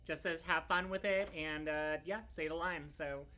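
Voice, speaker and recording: A woman with a very low-pitched voice, hosting a relaxed chat while signing prints. She is friendly and teasing and laughs often. A faint hum runs behind her. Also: normal speed. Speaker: voice actress and stream host.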